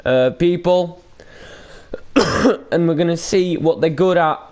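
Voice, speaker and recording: A man's voice: a little high, takes it slowly, darkish tone. A man hosting a gaming video with casual, unscripted commentary, rambling and hesitant with loose, sweary language.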